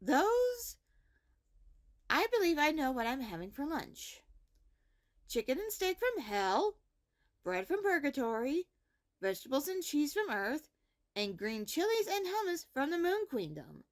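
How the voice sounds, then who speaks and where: A very high female voice with lively swings in pitch, a woman acting as a caring, orderly high school principal. She delivers measured morning announcements for a fantasy web series and speaks straight to her students. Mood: appreciative.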